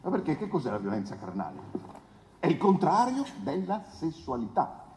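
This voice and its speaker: An actor playing a pompous male defence lawyer in a 1970s trial, pleading with grand, insinuating rhetoric and dramatic pauses in a theatrical stage drama. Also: fairly high pitch, huge pitch swings, a little mellow. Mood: declamatory, emphatic. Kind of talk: theatrical courtroom oration. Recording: echoey reverberant hall, noisy background.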